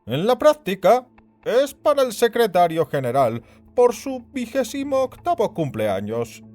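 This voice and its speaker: Male narrator as burly disapproving director, high-pitched, very animated delivery, condescending, emphatic, audio story. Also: a little slow, faint music behind. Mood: scornful, explaining. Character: overbearing big burly male institute director, perpetually disapproving.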